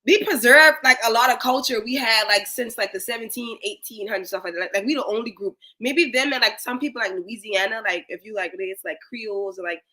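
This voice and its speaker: Young woman guest, South Carolina Geechee accent, quick and bright, slangy and animated. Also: a little high. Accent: Gullah Geechee, South Carolina. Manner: animated, slangy, many "like" fillers. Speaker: guest commentator. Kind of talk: casual chat.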